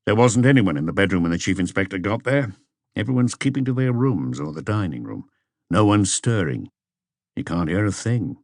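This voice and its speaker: A man's voice: sits low, lively intonation, normal speed, no echo, studio quality. A man voicing a terse, understated police detective chief inspector in an audiobook. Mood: low-key.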